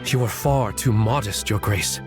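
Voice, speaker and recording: An actor playing a deferential, courteous writer in a video game. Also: male voice, fairly low pitch, a little slow, over music, natural voice. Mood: grateful.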